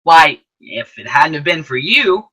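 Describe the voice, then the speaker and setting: Earnest apprentice angel for radio drama, played by an actor: forceful, unhurried, very lively pitch, emphatic. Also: slightly rough, full character voice, low-quality recording. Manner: animated, emphatic, deliberate. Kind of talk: old-time radio play acting with narration to listeners.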